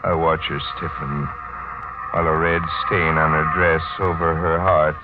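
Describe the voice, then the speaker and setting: An actor playing a despairing, fearful criminal on the run in halting, dramatic 1940s radio mystery style. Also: male voice, very deep voice, level tone. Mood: bleak, fading. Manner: halting, dramatic first-person delivery. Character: Jean LeBlanc, despairing fearful criminal on the run, narrating his own end. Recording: muffled old 1940s radio recording, organ music.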